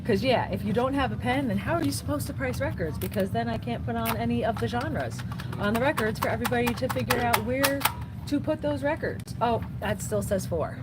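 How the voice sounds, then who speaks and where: A woman who runs a record store, chatting casually on a livestream and reading out viewer comments in a chatty, upbeat way. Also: high voice. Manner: chatty and upbeat, often saying "so yeah". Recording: noisy background.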